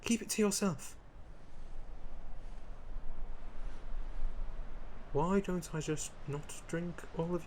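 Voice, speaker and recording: A man's voice: fairly high pitch, a little mellow, a little hiss or hum, natural voice. A man playing a centuries-old vampire lord in a scripted roleplay addressed to the listener, haughty and dramatic, wry and easily flustered. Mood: exasperated.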